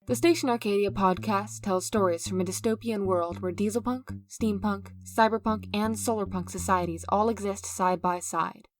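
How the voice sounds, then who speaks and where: A woman's voice: medium pitch, moderate pace, few pauses. A woman reading scripted promotional narration for an audio fiction podcast trailer, with plucked guitar music behind her.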